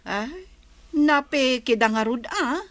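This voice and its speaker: Woman acting a slow, high-voiced Ilocano elder sister. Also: lively intonation, a bit bright, dry recording, exaggerated voice. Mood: puzzled pity. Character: elder sister, deliberate, thrifty and long-suffering. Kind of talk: Ilocano radio-style drama acting.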